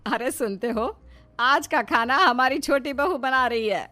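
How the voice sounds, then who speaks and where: A woman's voice: high voice, a little slow, sharp tone, pitched above the performer's own voice. A woman voicing an older village mother-in-law in a cartoon story, wry, emphatic and given to teasing and admonishing. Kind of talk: Hindi cartoon story character acting.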